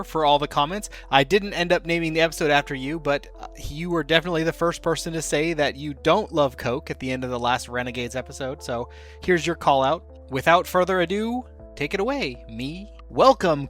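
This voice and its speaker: A man's voice: on the high side, a bit bright. A man casually hosting and running an actual-play tabletop game podcast, with a playful, jokey manner. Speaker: podcast host and game master.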